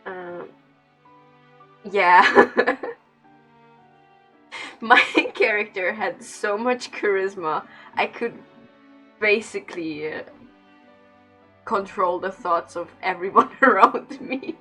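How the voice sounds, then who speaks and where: A woman's voice: medium pitch, takes it slowly, pauses often, bright, forceful tone. A woman doing casual, informal gameplay commentary on a livestream, with a self-deprecating streak. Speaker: game streamer. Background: game dialogue and music.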